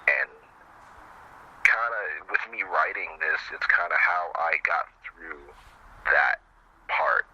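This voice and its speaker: A man with a deep, very sharp-edged voice talks casually and candidly as an interview guest. The sound is a little lo-fi. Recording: dull, lo-fi, faint background noise.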